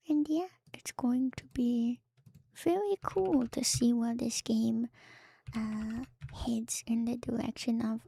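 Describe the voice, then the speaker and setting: Female ASMR streamer, hushed breathy whisper with creaky edges, mellow and soothing, unhurried delivery. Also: a little high. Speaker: streamer and host. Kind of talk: ASMR livestream sign-off chat. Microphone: close microphone.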